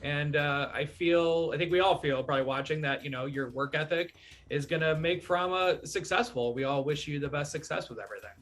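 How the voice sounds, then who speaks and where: A man's voice: a little high, fairly quick, a bit bright. A man hosting an interview, asking friendly questions with a few fillers. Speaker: interview host. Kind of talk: casual interview.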